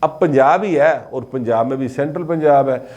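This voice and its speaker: Male political commentator, mid-pitched, Pakistani Punjabi accent, chatty and informal delivery. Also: moderate pace, a little mellow, lo-fi sound. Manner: conversational, slipping between Urdu and Punjabi.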